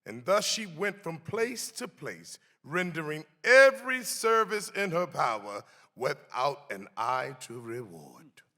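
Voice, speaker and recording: A man reads a historic text aloud as an actor would, slowly and with wide, animated swings of pitch. His voice is bright and forceful, ringing with long hall reverb. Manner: theatrical, deliberate delivery with swelling emphasis. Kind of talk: dramatic reading of a historic pamphlet.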